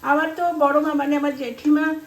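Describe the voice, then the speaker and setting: High-pitched Indian Bengali woman, chatty home vlogger. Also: level tone, moderate pace. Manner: chatty, rambling, acts out remembered dialogue. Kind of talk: casual storytelling while cooking. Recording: roomy echo, some background noise.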